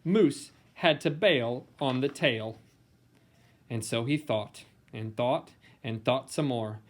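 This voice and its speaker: A man reading a children's picture book aloud in a playful, theatrical way with dramatic emphasis and pauses. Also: mid-range voice. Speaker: storybook reader.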